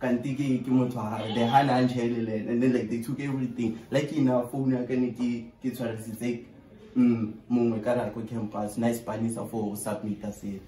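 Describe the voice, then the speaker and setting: A South African man in his twenties making a casual, slangy prank phone call, animated, exasperated and pleading, with swearing. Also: mid-range voice, moderate pace. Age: 20s. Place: small bare bedroom indoors. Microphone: camera a short distance away. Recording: roomy echo, distant from mic.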